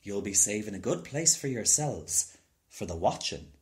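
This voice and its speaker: A man's voice: fairly low pitch, expressive, normal speed, close to an everyday voice. A man voicing a kindly, welcoming woman who keeps a sweet shop in a storybook, with folksy turns of phrase.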